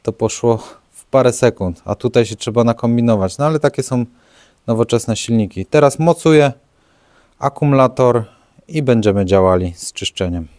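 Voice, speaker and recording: A man's voice: medium pitch, normal speed, a little mellow. A young man, a car mechanic vlogger, chatting frankly and casually while he works on an engine in an open garage. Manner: chatty, frank, self-deprecating. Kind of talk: casual how-to commentary. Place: open home garage, rain outside.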